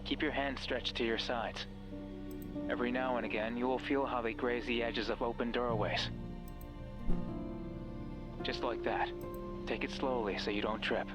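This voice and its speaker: A man playing a mysterious, controlling horror game guide with a measured, ominous and coaxing delivery. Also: medium pitch, fairly even intonation, over loud music, natural voice. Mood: instructing. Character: mysterious controlling male radio voice guiding a listener, sinister. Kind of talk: horror visual novel voice acting.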